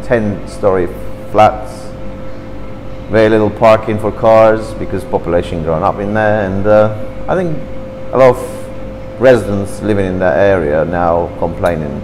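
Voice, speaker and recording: A man's voice: fairly low pitch, unhurried, big-room sound, noisy background. A man in his fifties from Turkey, speaking English, chatting animatedly about his childhood in a café interview. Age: 50s. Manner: chatty, animated, gestures with hands, slightly broken English. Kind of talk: reminiscing in an interview. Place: café, seated at a table indoors. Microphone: camera across the table.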